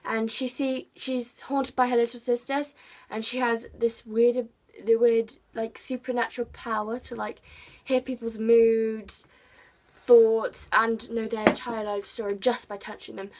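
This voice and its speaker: Brief: English woman, book vlogger, bright high voice, rambly and self-mocking. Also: flat delivery, unhurried, a little hiss or hum. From England. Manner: chatty, rambling, self-deprecating, playful. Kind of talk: casual chat to camera.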